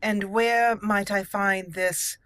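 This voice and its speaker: A woman's voice: mid-range voice, fairly even intonation, slow pace, a bit bright, no room sound. A woman hosting a roleplaying let's play, speaking in character and commenting as she plays. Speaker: gaming channel host, player. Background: game music.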